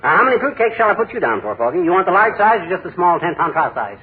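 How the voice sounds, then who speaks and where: A man's voice: sits high, very animated, rapid-fire, husky, exaggerated voice. An actor playing a blustering, stubborn husband in a 1940s radio sitcom, arguing his point and chuckling. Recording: tinny old radio broadcast with loud hiss.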